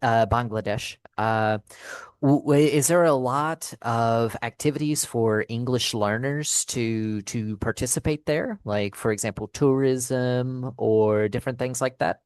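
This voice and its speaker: A man hosting a casual interview as an English teacher, speaking in a halting, deliberate way and checking in as he goes. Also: mid-range voice, clean tone, no echo, studio quality.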